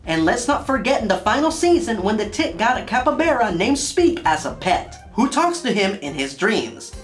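A man's voice: high-pitched, big pitch swings, moderate pace, soft background music. A man in his thirties delivering scripted review commentary in a theatrical, emphatic manner. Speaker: video review host. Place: small indoor studio room.

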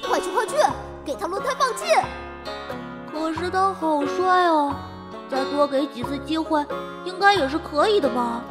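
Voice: high-pitched, expressive, normal speed, loud music behind the voice. An actor voicing a cutesy, childlike little conscience sprite in an audio drama, bickering away. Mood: wavering, pleading.

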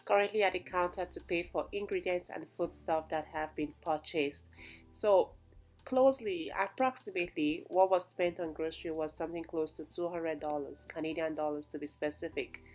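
Chatty woman, low voice. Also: normal speed, a little mellow, soft background music. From Nigeria. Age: young adult. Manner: chatty and explanatory, many "like" fillers. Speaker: vlogger. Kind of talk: casual shopping vlog narration.

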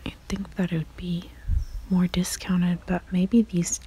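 A woman whispering softly and chattily as she films herself browsing a large craft store on a handheld phone. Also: fairly low pitch, flat delivery, a little slow, slightly rough, low-quality recording. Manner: soft whispering, chatty and admiring. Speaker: vlogger. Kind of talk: whispered shop-with-me vlog. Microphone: handheld phone camera.

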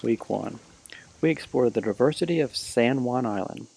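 A man giving deadpan mock-documentary narration, reading out a team roll call for a class parody film. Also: mid-range voice, normal speed, vocal fry, breathy edge.

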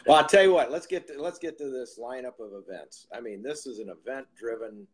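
A man's voice: mid-range voice, fairly quick, breathy edge. A man in his fifties hosting an interview over a video call, chatty and rambling. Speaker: host. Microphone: video call with earbud headset.

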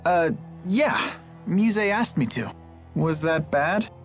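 A man's voice: on the high side, animated, slow pace, soft background music. An actor playing an earnest, polite and somewhat naive teenage boy in a video game dub. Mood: confused.

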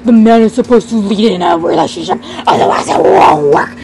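A woman's voice: medium pitch, fairly quick, darkish tone, slight background noise, natural voice. A woman playing a furious, tyrannical father, scolding emphatically in an amateur game roleplay. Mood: threatening.

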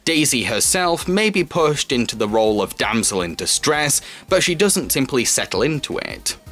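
A young man hosts a scripted review. His mid-pitched delivery is enthusiastic and emphatic, with light music behind him. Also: very animated, moderate pace, fairly bright tone. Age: young adult. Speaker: film reviewer, video host. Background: background music.

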